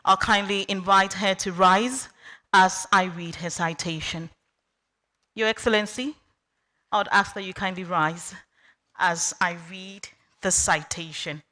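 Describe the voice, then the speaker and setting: A woman reading an honorary degree citation at a university ceremony in a measured, deliberate way. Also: medium pitch, fairly even intonation, bright, forceful tone. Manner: measured, deliberate list-by-list delivery. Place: university lecture hall.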